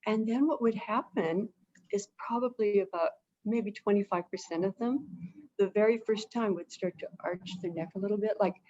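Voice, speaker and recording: A woman who is an avian behavior expert, explaining things calmly and gently as a webinar guest and repeating phrases for emphasis. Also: medium pitch, normal speed. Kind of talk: casual explanatory talk. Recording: dull lo-fi webinar audio.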